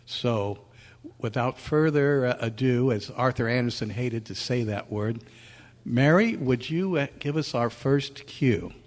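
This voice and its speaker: A man, a playwright, introducing his work to a live audience in a halting, earnest way, in a reverberant meeting room. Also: medium pitch, lively intonation. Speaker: playwright and director. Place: large meeting room at a convention. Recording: echoey hall, long reverb.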